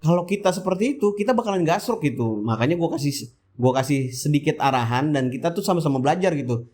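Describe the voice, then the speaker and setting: A man's voice: a little high, expressive, quick pace, a little mellow. Chatty man with a Jakarta-area Indonesian accent. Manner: colloquial, rambling, asks and answers own questions. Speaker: motovlog host. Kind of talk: casual vlog voice-over, chatty and informal.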